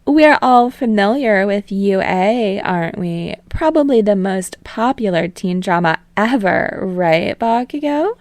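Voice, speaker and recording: A woman reading a fan story aloud and voicing a bubbly, teasing female talk show host with a loud, bright, smug manner. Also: mid-range voice, expressive, a little slow, hardly any pauses, dry recording, voice pushed up, close to an everyday voice.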